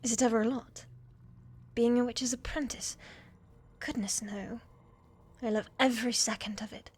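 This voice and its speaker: A woman's voice: on the high side, fairly even intonation, normal speed, a little mellow, husky. A woman playing a shy, sweet young witch's apprentice in an ASMR fantasy roleplay, polite, hesitant and eager to please.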